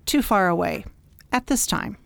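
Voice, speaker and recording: A woman's voice: mid-range voice, lively intonation, measured pace, gravelly. Woman acting a precise, wise alien mentor. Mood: exacting. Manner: precise, schoolmistressy, gently scolding. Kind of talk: science fiction radio drama acting.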